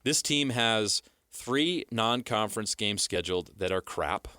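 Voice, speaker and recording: A man's voice: fairly low pitch, expressive, unhurried, sharp tone, clean tone, dry recording, studio quality. An American man co-hosting a sports talk show, pressing his case insistently and repeating points for emphasis. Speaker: sports radio co-host. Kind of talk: sports talk, making a pitch to a co-host.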